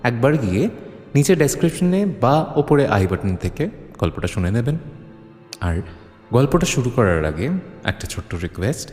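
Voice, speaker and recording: A man's voice: medium pitch, darkish tone. A man hosting and narrating a horror audio story in a dramatic scripted style. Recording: long reverb, music underneath. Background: music.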